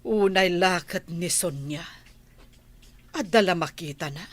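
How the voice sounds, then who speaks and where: A woman's voice: lowish voice, measured pace. A woman with an Ilocano Filipino accent playing a complaining villager in a comedy radio drama. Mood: mildly exasperated. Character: woman complaining about a gossiping neighbor.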